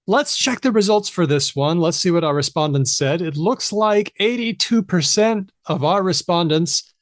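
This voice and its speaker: A man's voice: sits high, expressive, moderate pace, dry recording, studio quality. Upbeat male podcast host. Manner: upbeat, guiding the show along. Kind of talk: live podcast hosting.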